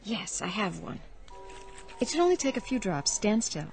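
A woman's voice: medium pitch, sing-song, moderate pace. An actress playing a polite, helpful young woman in a radio drama, prim and precise. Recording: radio play with music underneath.

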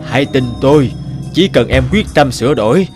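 An actor playing a kind, patient, firm elderly male teacher in an animated drama. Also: mid-range voice, moderate pace, over music, close to an everyday voice. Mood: earnest, encouraging.

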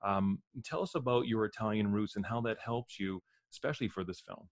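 A man's voice: on the low side, a little fast, dry recording. A man hosting an interview, jokey and laughing as he talks. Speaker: show host.

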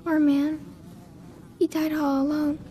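An actress playing a gentle, loyal little girl in a dramatic video game. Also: high voice, little pitch movement, takes it slowly, a little hiss or hum. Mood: gentle, sad.

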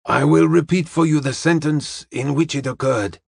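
A man's voice: a little high, normal speed, vocal fry, slightly rough, no room sound, voice pushed up. A man playing a courteous, wise Chinese detective in an audiobook, speaking formal, stilted English with dropped articles. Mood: courteous, measured.

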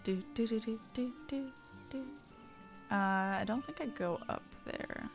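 A woman's voice: a little high, a little slow, creaky, faint music behind. A woman streamer chatting casually and muttering to herself as she plays a game. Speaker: streamer playing an online fantasy game. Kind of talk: casual live gaming commentary.

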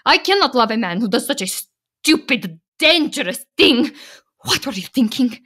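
A woman's voice: on the high side, sing-song, normal speed, bright, forceful tone. A stern immigrant wife in a radio drama,. She is frightened yet resolute, firm and reproachful as she insists on what is right. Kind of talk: radio play acting.

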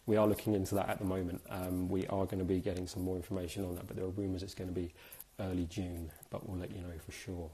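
A man in his thirties, a little hoarse from a cold, hosting a live video interview in a chatty, upbeat way with many fillers. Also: sits low, flat delivery, fast, few pauses, warm tone. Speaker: host. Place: indoors, small room.

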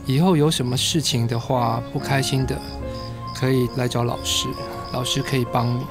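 A man's voice: medium pitch, steady tone, normal speed, close to an everyday voice. A man acting a soothing, protective male schoolteacher in a radio drama. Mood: gentle, reassuring. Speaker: voice actor in a fan radio drama. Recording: music behind the voice.

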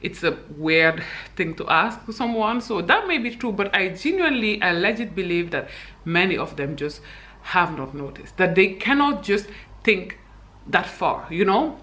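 Bright-voiced chatty young woman from Senegal. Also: fairly low pitch, normal speed. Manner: chatty, frequent fillers and laughs, animated hand gestures. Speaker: vlogger. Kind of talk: candid personal vlog. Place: bright indoor living room.

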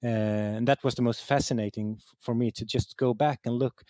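A man from Sweden speaks English with a Swedish accent in a mid-pitched voice. He is an interview guest, conversational and halting, repeating words as he looks for the right one. The sound is dry, with no room echo. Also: fairly even intonation, dark tone. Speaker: interview guest, game writer.

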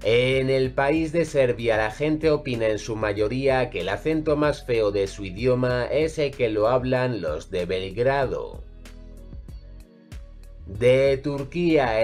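Slow, measured male voice-over narrator. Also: medium pitch, darkish tone, soft background music. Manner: measured, evenly read list delivery. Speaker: narrator of ranking videos.

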